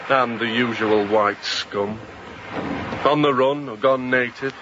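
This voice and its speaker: An actor playing a scornful, insolent officer aboard a ship in a radio drama, with a faintly mocking way of talking. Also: male voice, mid-range voice, slow pace, close to an everyday voice. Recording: dull muffled audio, outdoor ambience noise.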